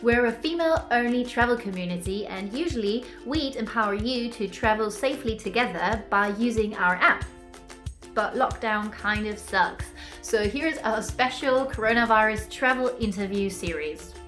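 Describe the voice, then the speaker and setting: A woman hosting a casual travel interview series over a video call, upbeat and quick to laugh. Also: fairly high pitch, fairly bright tone, faint music behind.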